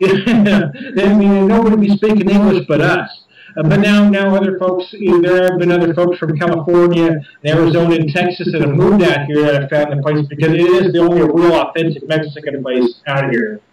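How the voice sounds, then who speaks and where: High-voiced man rambling, distant and roomy. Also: fairly even intonation, brisk, darkish tone. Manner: rambling, many repeated words and restarts. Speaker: podcast host reviewing beer. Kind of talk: casual chat.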